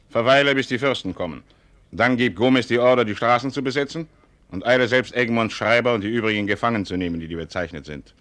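An actor playing a stern, calculating older duke in a classical radio play, with measured, formal stage diction. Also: male voice, fairly low pitch, a bit bright, dry recording, close to an everyday voice. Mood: commanding. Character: stern, calculating older duke, commanding father and military ruler.

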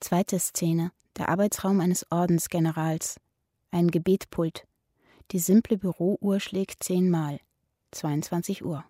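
Radio play narrator, composed, warm mellow tone, no room sound. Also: female voice, fairly low pitch, fairly even intonation, takes it slowly, dry recording, clean studio sound. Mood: neutral, measured. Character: composed narrator announcing scene settings. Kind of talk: scripted radio drama narration.

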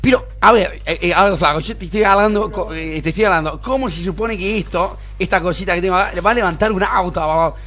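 A spoiled young porteño boss, played by a man, high and sharp-voiced. He whines in an affected upper-class Buenos Aires drawl, impatient and dismissive, as in a radio comedy-drama. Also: quick pace, hardly any pauses. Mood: scornful. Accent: Argentine, Buenos Aires. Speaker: radio play actor. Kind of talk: amateur radio drama acting.

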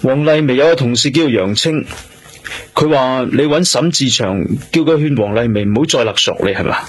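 An actor with a Hong Kong accent playing a businesslike police detective who questions methodically, in a radio drama. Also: male voice, medium pitch, moderate pace, natural voice. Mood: probing, polite. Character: male police detective investigating a murder, probing and businesslike. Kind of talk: radio drama acting and narration.

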